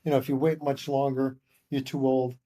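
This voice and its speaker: An older man in his seventies tells stories in a mellow, dark-toned voice at a middling pitch. He rambles and restarts as he works through a long anecdote as a podcast guest. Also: level tone, brisk. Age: 70s. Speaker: guest author. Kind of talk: casual interview storytelling.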